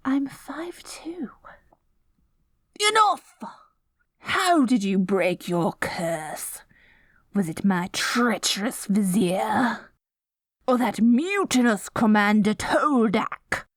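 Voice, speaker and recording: A woman's voice: mid-range voice, animated, drawn-out words, pauses often, dry recording, studio quality. A woman playing a short, dorky evil queen in a scripted audio roleplay, melodramatic and gleefully scheming, given to petty indignant outbursts.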